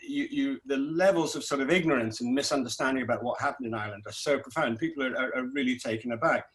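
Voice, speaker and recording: Middle-aged guy from scotland, doc director at a q&a, stops and restarts a lot, mid-pitched voice. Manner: halting, many restarts and fillers. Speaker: documentary director. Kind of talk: festival Q&A interview.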